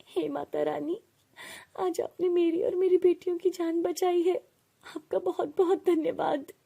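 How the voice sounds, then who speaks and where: A woman voicing a gentle, devout poor mother in an animated moral story, pleading and earnest. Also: very high voice, a little slow, vocal fry, voice pushed up, heavily acted. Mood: grateful.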